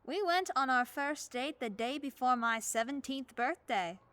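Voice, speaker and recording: A woman playing an elderly widowed neighbor in her seventies in a radio play, speaking gently and plainly with a wry edge. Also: high voice, expressive, measured pace, no room sound. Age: 70s. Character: kindly widowed old woman neighbor, churchgoing.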